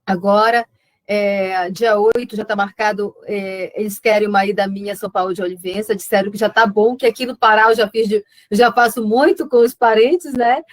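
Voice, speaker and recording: A woman's voice: medium pitch, steady tone, normal speed, no echo. A woman with a northern Brazilian accent talking conversationally as a guest in a web conference, reflective and often repeating words as she searches for the next one. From Amazonas, Brazil (Alto Solimões).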